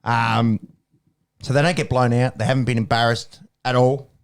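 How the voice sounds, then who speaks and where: A man's voice: mid-range voice, flat delivery, normal speed, gravelly, smooth. A man co-hosting a casual sports podcast, rambling with restarts and jokes. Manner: rambling, restarts, jokey, laughs often. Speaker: podcast co-host.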